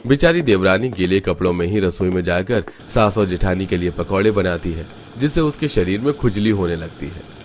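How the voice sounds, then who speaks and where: A man's voice: low-pitched, animated, brisk, slight background noise. A man giving scripted narration for a cartoon family story.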